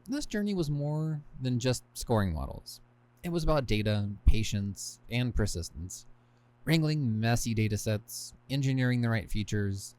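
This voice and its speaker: A man's voice: medium pitch, darkish tone. A man hosting a podcast and reading his own scripted book narration, with wry, self-deprecating asides. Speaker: podcast host and author.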